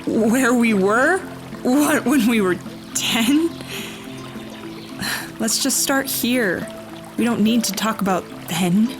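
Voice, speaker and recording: An actress playing a tender, hopeful young female sword fighter, soft-spoken, in a fantasy radio play. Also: fairly high pitch, slow pace, long pauses. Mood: tender, hesitant. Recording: music bed under the voice.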